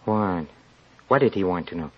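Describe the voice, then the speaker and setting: An actor playing a proud, guilt-ridden, impoverished young writer in an old-time radio drama, speaking haltingly and tensely. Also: male voice, low-pitched, lively intonation. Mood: anxious. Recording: dull, lo-fi old radio recording.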